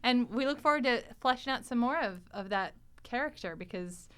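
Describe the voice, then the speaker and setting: High, clear-voiced woman hosting a chat. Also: brisk. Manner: animated, joking, laughs easily. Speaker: podcast host. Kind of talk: casual panel chat.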